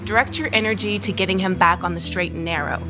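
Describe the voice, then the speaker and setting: A woman's voice: medium pitch, fairly even intonation, fast talker, natural voice. A woman acting a caring, supportive confidante in an audio soap opera, speaking in an earnest, natural way. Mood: caring, reassuring. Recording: studio drama with music underneath. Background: music.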